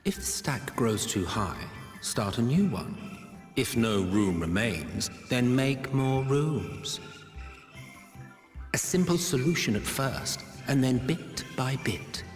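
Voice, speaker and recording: An actor playing a world-weary, sardonic ancient villain, narrating a game cutscene in a deliberate, theatrical manner. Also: male voice, mid-range voice, expressive. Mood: wry, musing. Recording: echoey hall reverb with music behind. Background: music.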